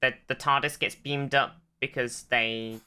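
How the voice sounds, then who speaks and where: A man's voice: medium pitch, fairly even intonation, a little slow, sharp tone, clear, resonant tone. A man co-hosting a podcast, chipping in with wry, irreverent asides in casual comic banter. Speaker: podcast co-host.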